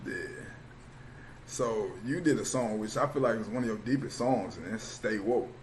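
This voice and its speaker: A man's voice: lowish voice, normal speed, a little hiss or hum, poor audio. Casual young-sounding male interviewer. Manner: relaxed slang, loose rambling questions. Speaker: interviewer, host.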